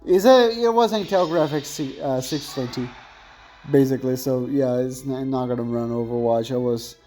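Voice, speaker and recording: A man's voice: medium pitch, moderate pace, mellow, faint music behind. A young man with a thin voice chatting casually and rambling while commentating on his gameplay, often correcting himself mid-sentence.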